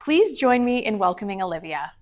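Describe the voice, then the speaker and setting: A woman's voice: medium pitch, big pitch swings, a little fast, slight background noise. A woman moderating a conference session, reading an upbeat, formal introduction with slight stumbles. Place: conference breakout room.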